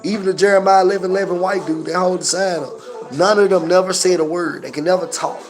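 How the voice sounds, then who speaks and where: A man with a Southern Memphis accent, his voice hoarse from a cold and breaking into coughs. He pitches high for a man and talks loose and profane straight to a phone camera. Music plays clearly under him the whole time. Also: steady tone, fast. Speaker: vlogger reviewing a movie. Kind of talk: casual spoken movie review.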